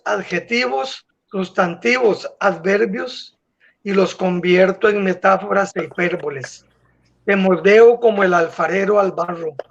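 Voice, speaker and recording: A man recites a poem with a high, lifted voice, pausing between lines. The sound is dull and lo-fi, like an online call. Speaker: poet reciting. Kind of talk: poetry recitation.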